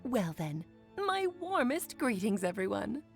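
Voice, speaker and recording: A woman's voice: a little high, very animated, measured pace, creaky, faint music behind, full character voice. An actress playing a playful, eccentric mother witch, coy and grandly formal, in a video game cutscene.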